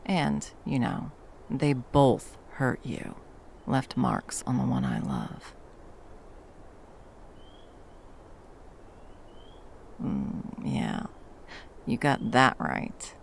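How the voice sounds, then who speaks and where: A woman playing a battle-hardened, fiercely protective monster hunter in an intimate ASMR roleplay, speaking to a lover with dry, tender menace and a gravelly voice. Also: very deep voice, very animated, a little hiss or hum, exaggerated voice. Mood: possessive, protective. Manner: menacing yet tender, slow deliberate storytelling with dry understatement.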